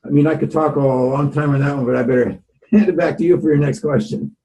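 A man's voice: fairly high pitch, steady tone, fast talker, dark tone, rough recording. A man who is a voiceover artist, chatting casually as a podcast guest in a rambling way with restarts.

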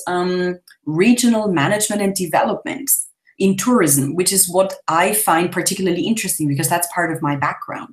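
Enthusiastic woman on a call. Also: fairly low pitch. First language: German. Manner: enthusiastic, talkative, laughs often. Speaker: travel blogger guest. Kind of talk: casual interview.

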